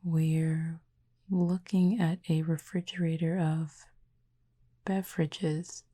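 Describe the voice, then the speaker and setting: A woman voicing a calm, curious cupid in an intimate audio roleplay, speaking softly and half-whispered with formal, measured, gently dry phrasing. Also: sits low. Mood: calm, gently teasing. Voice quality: breathy, soft, half-whispered. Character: calm, curious otherworldly cupid who feeds on feelings.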